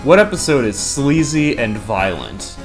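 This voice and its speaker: A man's voice: medium pitch, very animated, unhurried, faint music behind, rough recording. A man hosting a countdown, giving casual, opinionated scripted narration with crude, profane humor. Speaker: host of an entertainment countdown video.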